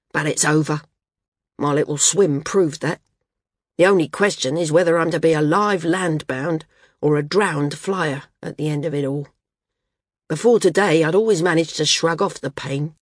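A woman acts out an ailing but hearty storybook man. The delivery is loud and frank, low and husky, recorded very dry. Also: dry recording. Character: booming, hearty, good-natured ailing man.

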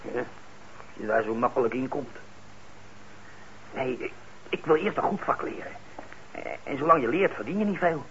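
A man's voice: mid-range voice, animated, normal speed, pauses often, fairly bright tone, natural voice. Casting: male actor for broke young brother, dry and grumbling, natural radio drama delivery, dulled sound with heavy noise. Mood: wry, resigned. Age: young adult. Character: broke, grumbling working-class young man, sardonic brother. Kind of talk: naturalistic radio play acting in Dutch. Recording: noisy, muffled old radio drama recording.

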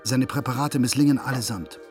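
An actor playing a detached narrator of a macabre radio drama, listing details in terse, clinical phrases. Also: male voice, medium pitch, a little fast, darkish tone, close to an everyday voice. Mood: clinical, ominous. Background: music.

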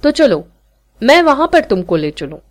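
A woman storyteller voicing a curious, kindly gentleman who asks questions and makes invitations in an inquisitive way. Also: high-pitched, very animated, moderate pace, pitched above the performer's own voice. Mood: inviting.

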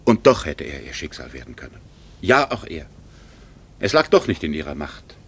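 A deep, gravelly, ironic gentleman. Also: big pitch swings, normal speed, exaggerated voice. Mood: incredulous, jealous. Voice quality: gravelly, husky. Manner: ironic, formal, slipping into hushed murmurs. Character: worldly, wounded, ironic gentleman, a long-time admirer. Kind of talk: German radio drama acting.

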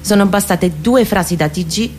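A woman with a lowish voice and a Roman accent delivers an autobiographical monologue. Her phrasing is deliberate, expressive and intimate, with faint background noise around her. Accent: central Italian, Roman. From near Rome, Italy. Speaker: monologue performer. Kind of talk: dramatic autobiographical storytelling monologue.

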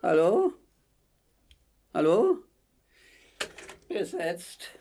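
A man playing a gruff but good-hearted sailor with a gravelly, blustery growl in a German children's comedy audio play. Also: high-pitched, huge pitch swings, extremely slow, warm tone, a little hiss or hum, exaggerated voice. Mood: soothing, grudging. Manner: blustery, grumbling, muttered exclamations.

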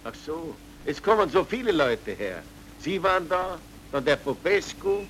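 A flustered porter in a radio drama, with jumpy, animated pitch. He is evasive and defensive, repeating short phrases. There is faint noise behind the voice. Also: moderate pace, rough recording. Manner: hesitant, defensive, repetitive. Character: flustered, reluctant house porter dodging questions.

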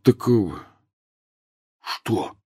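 A man voicing an anxious teenage boy with a halting manner in an audiobook story. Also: warm tone, no room sound, clean studio sound. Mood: anxious, hesitant. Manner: halting, hesitant. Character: hesitant, anxious teenage boy, hero's friend.

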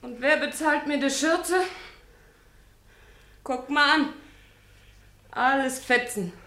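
A woman's voice: high-pitched, takes it slowly, pushed and bright, echoey room, heavily acted. An actress playing a frightened, indignant working-class married woman in a radio play, scolding. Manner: agitated, emphatic, scolding. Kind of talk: German radio play acting.

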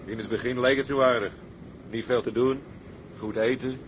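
A man's voice: mid-range voice, fairly even intonation, moderate pace, natural voice. Male actor for homesick tug hand: mid-range, plain, colloquial, seafaring drama, faint noise behind. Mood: rueful. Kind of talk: dramatised seafaring story, scripted acting.

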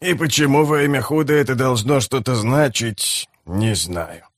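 A man voicing a gruff, sardonic wounded sapper in an audiobook, hoarse and strained with pain. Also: medium pitch, moderate pace, lightly acted. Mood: pained muttering. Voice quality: hoarse, raspy. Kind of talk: audiobook character dialogue.

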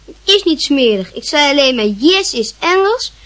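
A woman's voice: high-pitched, very animated, normal speed, fairly bright tone. A woman playing a young boy in a radio play, defensive and protesting stubbornly.

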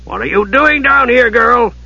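Guy doing a growly thief boss, bossy n menacing, raspy, fantasy audiobook, kinda lo-fi. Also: a little high, expressive, normal speed, pushed and bright, heavily acted. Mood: ominous. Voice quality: gruff, growling. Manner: commanding, ominous. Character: gruff, menacing wiry male thief boss.

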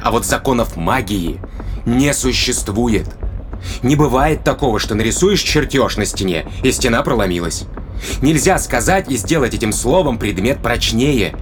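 A man's voice: mid-range voice, animated, normal speed, a little hiss or hum, close to an everyday voice. An actor playing a skeptical, rational man who interrogates in a matter-of-fact, questioning way in an audio drama. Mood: dismissive.

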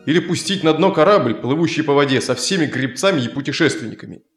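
A man's voice: on the high side, expressive, fast talker, close to an everyday voice. Man acting a disdainful, brooding warrior, roomy echo. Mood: indignant. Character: grumbling, warlike Roman soldier, contemptuous of gentleness. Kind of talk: audio fairy-tale drama acting. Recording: roomy echo with music underneath.

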